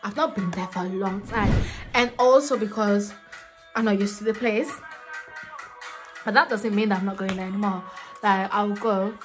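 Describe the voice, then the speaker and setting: A woman's voice: medium pitch, fairly even intonation, normal speed, long pauses, faint music behind. A young woman from Ghana vlogging to camera in a small room, chatting playfully and laughing often. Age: young adult. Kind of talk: casual chat to camera.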